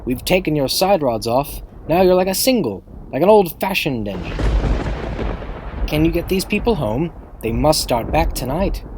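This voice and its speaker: A man's voice: fairly high pitch, animated, moderate pace, noisy background, voice pushed up, natural voice. A man voicing a firm, supportive train driver in a storybook, coaching with urgency. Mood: concerned, explaining.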